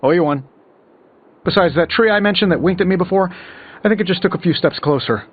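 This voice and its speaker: An actor playing a cocky superhero inventor in a video game, sarcastic and quippy. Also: male voice, high voice, fast, a little hiss or hum, lightly acted. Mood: wry. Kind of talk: video game character dialogue. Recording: slightly muffled, music behind.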